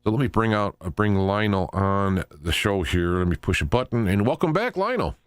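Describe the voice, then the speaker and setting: A man's voice: on the low side, very animated, moderate pace, smooth, dry recording, studio quality. A man hosting a talk radio show in a casual, conversational style.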